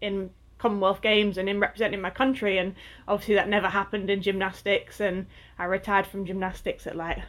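A woman's voice: mid-range voice, flat delivery, brisk. Chatty young woman from Northwest England. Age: 20s. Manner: chatty, lots of fillers, laughs easily. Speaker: guest athlete. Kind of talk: casual interview chat.

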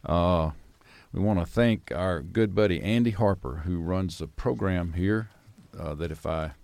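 Deep gravelly radio host. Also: male voice, measured pace, darkish tone, no echo. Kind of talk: conversational arts radio interview. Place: university building studio.

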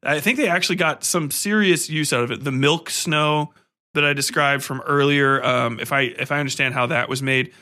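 A man speaks as a podcast host in a loose, rambling commentary style. His voice sits a bit high and has a sharp, forceful brightness. He is excitable and emphatic, with casual profanity. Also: moderate pace.

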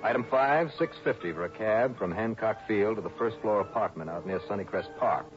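A man's voice: lowish voice, fairly quick, over music, natural voice. An actor playing a hard-boiled male insurance investigator who narrates his own case in a dry, wry, clipped way, in a 1960s radio drama. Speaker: narrator and lead. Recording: dull lo-fi 1960s radio broadcast.

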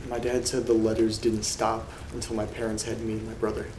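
Man acting as a subdued, even-toned horror storyteller. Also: lowish voice, a little fast, natural voice. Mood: somber, ominous. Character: uneasy man reading creepy letters aloud alone. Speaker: narrator of a horror audio drama. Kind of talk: horror audio drama storytelling. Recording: roomy sound with rain and noise. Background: rain and thunder ambience.

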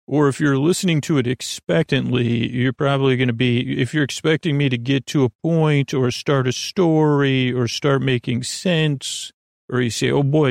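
Male sleep-podcast host, heavy vocal fry, dry close sound, wandering and halting delivery that loops back on itself. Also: medium pitch, no echo. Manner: meandering, halting, repeats and trails off. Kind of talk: rambling bedtime monologue.

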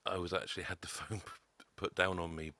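A man telling his own story as a podcast guest, halting often with a stammer. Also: low-pitched, fairly even intonation, pushed and bright. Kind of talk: personal testimony.

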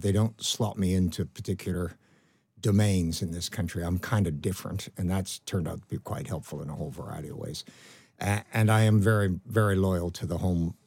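A man in his seventies with a Canadian accent, speaking as a guest in a conversational interview, rambling with restarts and trailing off quietly. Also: low voice, steady tone, brisk, husky, dry recording. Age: 70s. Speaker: guest, medical professor.

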